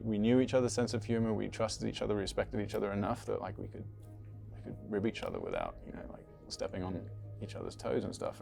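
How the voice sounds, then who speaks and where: A man's voice: lowish voice, gravelly, a little mellow. A man casually recalling work memories in a documentary interview, with fillers. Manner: casual, fond recollection with fillers.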